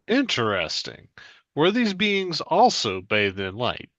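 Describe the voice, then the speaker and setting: A man's voice: on the high side, wildly swooping pitch, slow pace, a bit bright, dry recording, heavily acted. A man playing a polite, formal official questioning people in a comedy sketch read, in slightly dull sound. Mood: measured. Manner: formal, inquiring straight man. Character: polite, measured official interviewing alien abductees.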